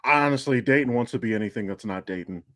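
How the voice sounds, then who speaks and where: A man's voice: mid-range voice, fast talker, a bit bright, no room sound. An American man talking casually as a podcast co-host, blunt, self-deprecating and freely profane. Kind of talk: casual chat.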